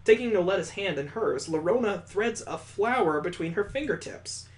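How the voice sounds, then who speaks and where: A man's voice: high voice, lively intonation, moderate pace. A man reading a visual novel aloud as host of a gaming video, breaking off into wry, jokey asides. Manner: wry, jokey, wanders off into side comments.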